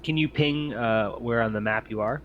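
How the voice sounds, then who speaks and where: A man's voice: mid-range voice, moderate pace. A man running an online roleplaying game, explaining scenes politely as he improvises the narration. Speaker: game master. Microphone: online voice chat mic. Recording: dull lo-fi mic with faint noise.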